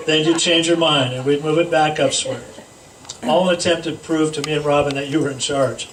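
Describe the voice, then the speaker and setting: A man reading a comedic roast speech aloud to a gathering, teasing with deliberate pauses. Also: fairly high pitch, fairly even intonation, a bit bright. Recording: echoey room, distant mic, noisy background.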